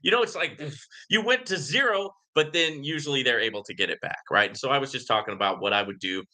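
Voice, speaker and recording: Bright, forceful man livestreaming. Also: medium pitch, animated, quick pace. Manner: outspoken, emphatic, repeatedly seeking agreement with "right?". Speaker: livestream host answering viewer comments. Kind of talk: casual live Q and A monologue.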